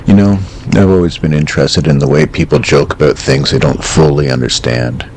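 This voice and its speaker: A man playing a calm, wry host of an eerie late-night radio call-in show, speaking in a measured, reflective, understated way. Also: rumbling low, a little hiss or hum.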